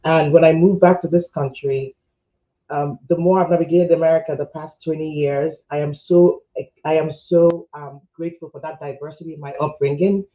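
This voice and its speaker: A man's voice: a little high, muffled recording. A man in his thirties who grew up in Nigeria, introducing himself on an online panel in an upbeat, chatty way. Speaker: panelist, HR executive.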